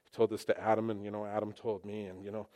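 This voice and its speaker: A man voicing Eve as a gossipy young woman who rambles quickly and chattily. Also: fairly low pitch, little pitch movement, mellow, close to an everyday voice.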